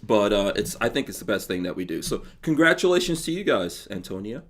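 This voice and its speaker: A man's voice: on the low side, big pitch swings, a little fast, a bit bright. A man with a New York accent hosting a livestreamed podcast, chatting casually and laughing often. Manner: laughs often, loose and joking. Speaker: podcast host.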